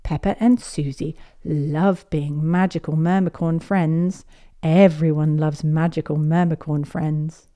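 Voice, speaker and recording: A woman reading a children's picture book aloud as a storyteller, in an expressive, measured way. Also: deep voice.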